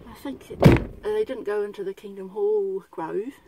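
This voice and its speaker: A woman's voice: mid-range voice, normal speed. A woman chatting casually and matter-of-factly in an outdoor vlog. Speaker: vlogger. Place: outdoors by a suburban road beside a car. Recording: outdoor roadside noise, passing vehicles.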